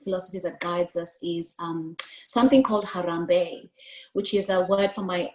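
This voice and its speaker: A woman's voice: fairly low pitch, normal speed, slight background noise. A woman in her thirties from Kenya with a Kenyan accent, a native Swahili speaker, telling her life story expressively as a guest on a video call. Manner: expressive, gestures with hands while speaking. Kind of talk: storytelling interview answer. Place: room at home, indoors. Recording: roomy video call audio.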